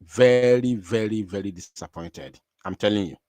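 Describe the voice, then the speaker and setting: A man's voice: on the low side, moderate pace, dry recording. Emphatic man hosting a livestream. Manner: emphatic repetition, swings from quiet to loud. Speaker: livestream host. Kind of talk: impassioned livestream talk.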